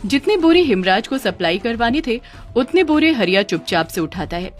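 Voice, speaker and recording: A woman's voice: on the high side, big pitch swings, moderate pace. A woman narrating a scripted children's story as a storyteller.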